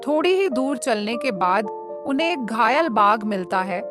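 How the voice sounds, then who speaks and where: A woman's voice: a little high, animated, normal speed. A woman narrating a scripted children's cartoon story as the storyteller. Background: music.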